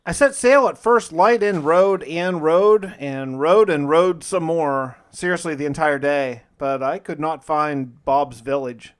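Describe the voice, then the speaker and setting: A man's voice: a little high, measured pace, hardly any pauses, smooth. A man narrating his own survival game playthrough in a casual, folksy, conversational way, like a video host telling a story in the first person. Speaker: gaming video host and player.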